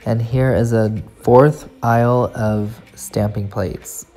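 A woman's voice: extremely low pitch, steady tone, slow pace, dark tone. A woman narrating a casual shopping haul vlog as a nail art YouTuber, gushing with enthusiasm as she shows her finds in a store and at her home desk.

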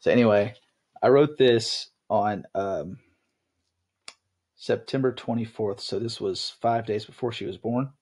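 A man's voice: fairly low pitch, a little slow, darkish tone, slightly rough. A young man hosting a podcast, chatting casually with his wife, rambling with lots of ums and restarts. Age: young adult. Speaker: podcast host.

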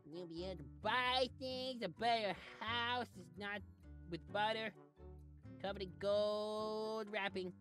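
A woman voicing a perky, teasing little cartoon sidekick in a comedy game series, chirpy, excitable and coaxing. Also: mid-range voice, a little slow, faint music behind, lightly acted. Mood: explaining, exasperated. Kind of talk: voice-acted comedy over gameplay.

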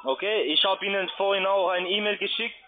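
Casting: male phone scam caller, notably high voice, halting and repetitive, muffled line. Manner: halting, repetitive, non-native grammar. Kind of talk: phone call, pushy questioning.

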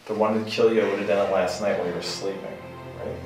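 An actor playing a calm, matter-of-fact, fatalistic male captive in a thriller film. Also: on the low side, rapid-fire, close to an everyday voice. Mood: coldly calm. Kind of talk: dramatic film acting. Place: small bare room. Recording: echoey room, distant mic, loud music.

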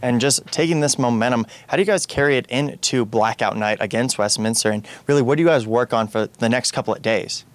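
A man's voice: medium pitch, a little fast, hardly any pauses. A young man working as a reporter, asking questions in a postgame sports interview on a handheld microphone. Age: young adult. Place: indoors in front of a media backdrop.

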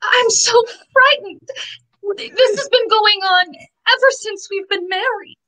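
Very high-pitched, fearful young bride. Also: female voice, slow, pushed and bright, full character voice, low-quality recording. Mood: frightened, plaintive. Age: young adult. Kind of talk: old-time radio mystery acting. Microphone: video call. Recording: faint music behind.

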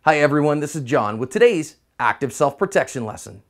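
A man's voice: fairly high pitch, lively intonation, a little fast, a bit bright, soft background music. A middle-aged American man hosting an instructional self-defense commentary, speaking in an emphatic, teacherly way with folksy phrasing. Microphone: lapel mic.

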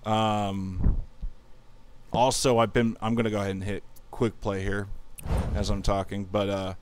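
A man's voice: lowish voice, flat delivery, a little slow, a little mellow, a little hiss or hum. Rambling thirty-something male streamer. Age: 30s. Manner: rambling, self-mocking, talks while playing. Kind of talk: casual gaming livestream chat.

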